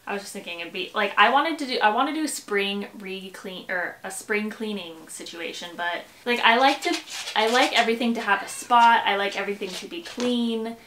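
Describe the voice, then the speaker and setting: A woman's voice: medium pitch, normal speed, a bit bright, slight background noise. A woman in her twenties with an American accent, chatting casually to camera in a rambling, informal way as she vlogs. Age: 20s. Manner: rambling, informal, self-interrupting. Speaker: lifestyle vlogger. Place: rooms in a home, indoors. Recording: echoey bare room, music underneath. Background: background music.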